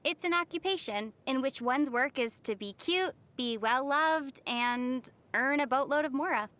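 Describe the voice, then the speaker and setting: A young female traveler, the heroine of a video game. The voice is high and youthful, with a measured, playful delivery and a wry, gently teasing temper. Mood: wry, explaining.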